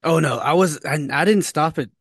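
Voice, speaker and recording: A man's voice: fairly high pitch, brisk, husky, no room sound, studio quality. Rambling man talking sports. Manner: rambling, repeats himself. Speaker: podcast co-host. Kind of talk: casual sports chat.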